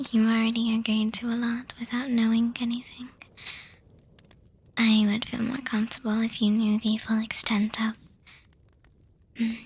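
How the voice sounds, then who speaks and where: A woman voicing an affectionate, teasing young vampire girlfriend in a breathy, hesitant whisper for an ASMR roleplay. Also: on the high side, dry recording. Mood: tender, hesitant. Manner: slow, hesitant, gently reassuring with soft hums.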